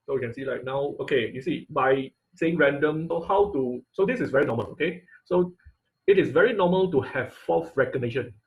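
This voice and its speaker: A man giving an informal live technical lesson as an instructor, speaking haltingly, repeating phrases and often checking in with "okay" and "right". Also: mid-range voice, background noise. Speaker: online class instructor.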